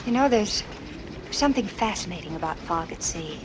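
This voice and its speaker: An actress playing a sweet, coy, slightly nervous young woman with a soft, fluttery manner in 1940s film style. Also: on the low side, lively intonation, normal speed, close to an everyday voice. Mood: coy, dreamy. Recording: noisy old 1940s film soundtrack.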